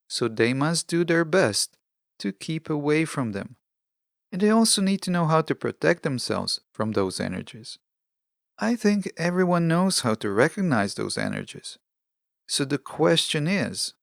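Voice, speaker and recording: A man speaks as the host of a self-help podcast in a mid-pitched voice with a noticeable creak. He delivers his monologue in measured, deliberate short phrases. The sound is close and dry, with no room around it. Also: dry recording.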